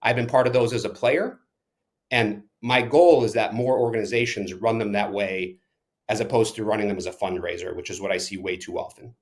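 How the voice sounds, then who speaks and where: A man's voice: fairly low pitch, fairly quick. A middle-aged man from Ukraine, a club owner and attorney answering interview questions bluntly and emphatically, stressing and repeating key words.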